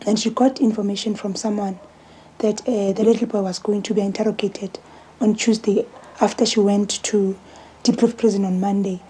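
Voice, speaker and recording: A woman with a very husky, breathy voice and a South African accent gives spoken testimony. Her tone is soft and mellow at a middle pitch, and she halts and repeats words as she goes. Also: steady tone, normal speed, low-quality recording. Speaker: interviewee.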